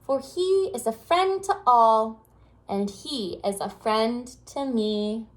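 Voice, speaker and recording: A woman voicing a friendly, encouraging young girl in a children's storybook, speaking gently. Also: on the high side, animated, slow pace. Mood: proud, tender. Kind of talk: storybook character voice.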